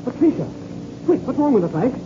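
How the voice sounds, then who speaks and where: An actor playing an excitable, urgent airplane pilot in a 1930s radio adventure serial. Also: male voice, extremely high pitch, a little fast. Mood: alarmed. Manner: urgent, exclamatory. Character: excitable adult male airplane pilot, alarmed, loyal. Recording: muffled, noisy old radio recording.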